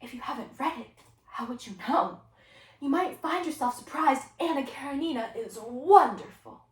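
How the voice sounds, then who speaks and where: A woman's voice: high-pitched, animated, normal speed, distant mic, voice pushed up. Casting: woman as earnest, weary-of-nonsense female teacher for solo stage drama, restrained and stern, echoey recording. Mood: pleading. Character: exasperated, earnest female teacher, a former psychiatrist. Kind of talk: solo dramatic interpretation performance.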